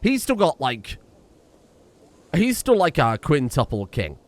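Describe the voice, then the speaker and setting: Excitable man reacting to a game. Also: a little high, slow. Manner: excitable, exclaiming, rambling with restarts. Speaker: gaming streamer. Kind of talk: casual let's-play commentary.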